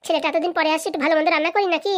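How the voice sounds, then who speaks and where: Woman playing a high-pitched, quick-talking scolding cartoon wife. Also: fairly even intonation. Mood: brisk, bossy. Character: thrifty, scolding wife refusing her husband money. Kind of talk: comedy cartoon dialogue.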